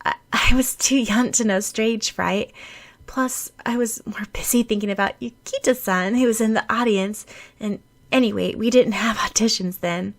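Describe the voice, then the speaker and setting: A woman's voice: a little high, fairly even intonation, moderate pace. An anxious schoolgirl in an audiobook story, voiced by a woman. She is shy and unsure of herself, stammering and pleading in a raised, girlish voice. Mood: flustered. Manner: stammering, hesitant.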